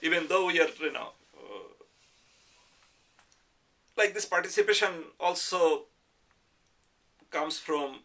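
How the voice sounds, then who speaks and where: A middle-aged or older man with a Bengali accent, giving a reflective interview in a measured, hesitant way with careful qualifications. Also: fairly high pitch, bright, forceful tone. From Bangladesh. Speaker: interviewee, professor. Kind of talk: reflective oral history interview.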